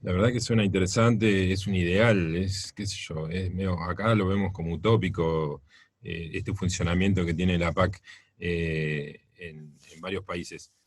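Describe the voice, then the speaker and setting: A man's voice: sits low, fairly even intonation, normal speed, husky, no room sound. A man hosting a radio interview, asking brief questions. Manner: brief questions and short agreements. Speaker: radio host.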